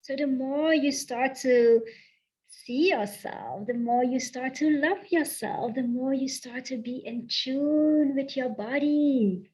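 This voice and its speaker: A woman from the south of the Netherlands with a Dutch accent, speaking slowly and softly as a spiritual coach in a channeled guided talk, repeating her affirmations. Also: high-pitched, rough recording. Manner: slow, soft, affirming, repeats phrases.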